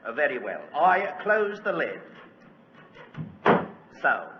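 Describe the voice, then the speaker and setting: A man playing a pompous, flustered stage magician with florid, halting showman patter in 1940s radio style. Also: on the high side, big pitch swings, full character voice. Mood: grandiose. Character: pompous, flustered music-hall stage magician addressing his audience. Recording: echoey, dull, noisy old radio recording.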